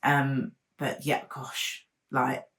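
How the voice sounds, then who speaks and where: A woman's voice: a bass voice, flat delivery, drawn-out words, a bit bright, reverberant. A woman co-hosting a podcast, speaking enthusiastically and gushing about the guest. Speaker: podcast co-host.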